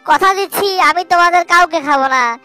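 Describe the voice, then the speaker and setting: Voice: extremely high pitch, normal speed, no room sound. An actor voicing a cunning, greedy red fox in a children's cartoon fable. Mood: desperate, pleading. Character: cunning greedy male red fox, pleading when trapped. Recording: loud music behind the voice.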